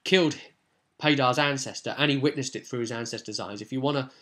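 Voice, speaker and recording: A man's voice: mid-range voice, fast talker, a bit bright. Man casually recapping a tabletop game. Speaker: tabletop role-play vlog host.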